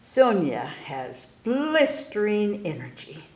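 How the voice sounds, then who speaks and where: A woman hosting a library story time, reading aloud to children with deliberate phrasing. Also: medium pitch, sing-song, darkish tone. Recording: roomy, echoey indoor sound.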